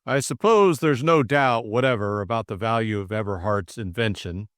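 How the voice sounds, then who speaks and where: A man's voice: lowish voice, moderate pace, no room sound, clean studio sound, natural voice. Man playing stern, commanding Russian conspiracy boss. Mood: businesslike. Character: stern Russian man chairing secret criminal society.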